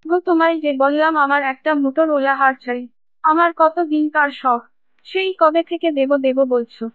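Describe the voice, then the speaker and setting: A woman plays a village housewife in a cartoon, pitched high. She coaxes and nags her husband with a demanding air. The audio is tinny and very dry. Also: fairly even intonation, moderate pace, no room sound, lightly acted. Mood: wheedling, demanding. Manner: nagging, coaxing. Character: demanding, wheedling village wife of fish seller. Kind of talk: Bengali cartoon story acting.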